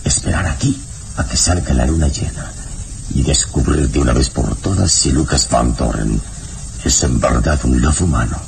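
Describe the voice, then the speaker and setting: A deep, serene heroic man. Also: vocal fry. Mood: calm, firm. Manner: calm, measured, commanding. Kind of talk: 1960s radio drama acting. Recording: noisy old radio recording.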